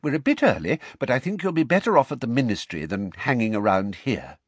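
A kindly, enthusiastic middle-aged wizard father, voiced by a man narrating an audiobook. He speaks brightly and encouragingly, noticeably higher than the narrator's own voice and with a lively lilt. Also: fairly quick, slightly rough, no echo. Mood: bracing. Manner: bracing, enthusiastic. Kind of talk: audiobook character voice.

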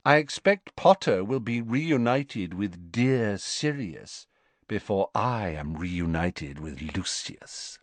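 A man voicing a cold, haughty aristocratic mother who speaks slowly and with menace. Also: lowish voice, lively intonation, no room sound. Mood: icy. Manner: slow, icy and menacing.

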